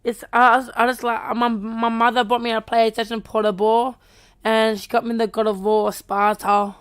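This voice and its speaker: A woman co-hosts a casual podcast in a very clear, smooth voice. Her intonation stays quite flat and even, and the sound is dry and close. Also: on the high side, moderate pace, dry recording. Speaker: podcast co-host.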